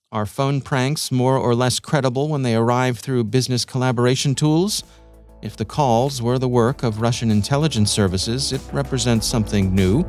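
Man, news podcast host, scripted narration, measured, articulate, dryly wry, medium pitch, dry studio sound. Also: a little mellow, dry recording.

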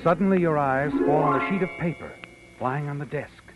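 A man's voice: on the high side, normal speed, lightly acted. Man as insinuating vintage radio suspense narrator. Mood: knowing, ominous. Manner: knowing, insinuating, dramatic. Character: male narrator, taunting inner voice of conscience. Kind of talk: 1940s radio suspense narration in second person. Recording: dull old radio broadcast with music.

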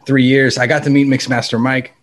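Easygoing guy from LA, mid-pitched voice, just chatting. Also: level tone, brisk. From Los Angeles. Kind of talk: casual chat.

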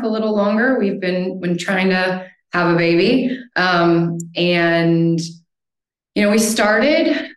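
Chatty American woman in a roomy space. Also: medium pitch. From United States. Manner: chatty, often tags sentences with "right?". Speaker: podcast guest. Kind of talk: casual conversation. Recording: roomy echo.

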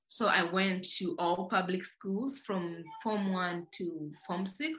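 A woman's voice: fairly low pitch, takes it slowly, a bit bright, poor audio. A woman from Tanzania, a company founder, telling her life story as a podcast guest in a reflective way, often restarting her sentences. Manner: reflective, many restarts and fillers. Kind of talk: casual interview.

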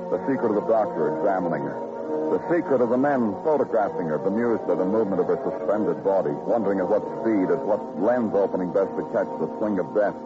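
Deep-voiced, brooding radio detective. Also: male voice, animated, fast talker. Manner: hard-boiled, clipped questioning and moody, poetic narration. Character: terse, brooding male New York police detective. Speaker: lead detective and narrator. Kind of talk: old-time radio crime drama acting and narration. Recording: dull vintage radio recording with music.

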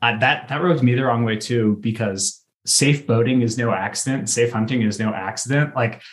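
A man's voice: mid-range voice, moderate pace. A man hosting a podcast, chatting casually about a TV show in an informal, jokey way. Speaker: podcast host.

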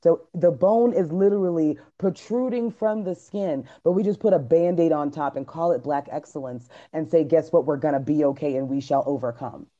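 A man co-hosting a casual podcast chat, talking in an emphatic, animated, preachy way. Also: a little high, normal speed, few pauses, muffled recording. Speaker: podcast co-host. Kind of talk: casual group chat on a live stream.

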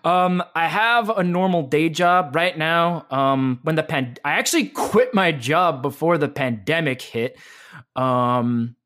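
A man's voice: a little high, a little slow. A man who works as a voice actor and voice teacher, talking energetically in a casual interview with many restarts. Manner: energetic and animated, with frequent restarts.

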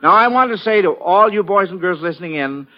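A man's voice: high voice, animated, a little fast. An American man hosting a 1940s radio quiz show for children, with a folksy, enthusiastic showman's delivery. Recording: dull vintage 1940s radio broadcast.

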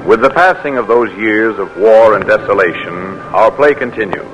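A man's voice: on the low side, animated, moderate pace, highs cut off. A man announcing and narrating a 1940s radio drama in a formal, stately way. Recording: announcer over music.

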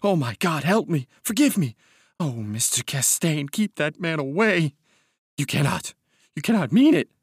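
A man's voice: on the high side, very animated, normal speed, husky, dry recording, studio quality, voice pushed up. A man voicing a terrified, broken former madhouse inmate in an audiobook story, crying, gasping and pleading desperately. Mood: hysterical pleading. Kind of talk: dramatic audiobook character voice.

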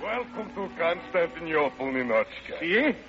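A man's voice: fairly high pitch, very animated, moderate pace, music in the background. A man playing a jolly, cheeky Soviet comrade in an old-time radio drama, on a muffled recording. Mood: jovial.

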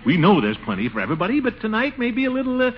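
A man's voice: high voice, wildly swooping pitch, very fast. Pompous rotund middle-aged guy, blustery and all over the place, muffled old radio recording. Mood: exasperated. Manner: blustery, chuckling, swooping exclamations. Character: pompous, excitable, self-satisfied rotund middle-aged bachelor. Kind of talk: 1940s radio comedy acting. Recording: dull, slightly noisy old radio recording.

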